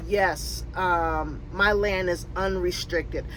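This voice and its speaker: A woman's voice: on the low side, steady tone, takes it slowly, fairly bright tone, a little hiss or hum, low-quality recording. A woman in her fifties from Connecticut, casually answering viewer questions on her van-life vlog, rambling with many fillers and restarts. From Connecticut, USA. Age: 50s. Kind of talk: casual Q&A chat. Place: in or near her RV.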